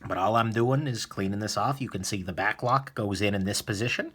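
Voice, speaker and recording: A man hosting a casual knife disassembly video, chatty and relaxed with lots of fillers, a little sniffly from a cold. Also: fairly low pitch, brisk, slightly rough. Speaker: knife reviewer, host. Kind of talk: casual tutorial narration.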